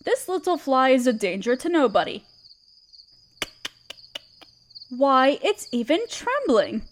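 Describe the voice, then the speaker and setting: A woman playing a regal, tender spider queen in an audio roleplay, speaking in a gentle, soothing and slightly formal way. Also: high voice, big pitch swings, moderate pace, slight background noise. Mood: commanding. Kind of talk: scripted roleplay audio drama. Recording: crickets chirping behind the voice. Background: crickets and night insects.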